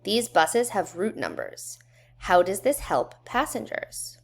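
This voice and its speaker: A woman reading a children's educational book aloud in a measured, instructional way, putting questions to young listeners. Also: mid-range voice, very animated. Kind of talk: children's read-aloud narration.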